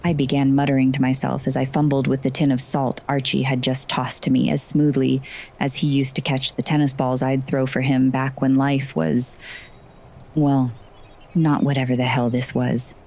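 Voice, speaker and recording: A woman's voice: a bass voice, level tone, normal speed, dark tone. A woman playing a sardonic, frazzled heroine who narrates her magical adventure in first person in an audio drama. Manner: wry, sarcastic asides.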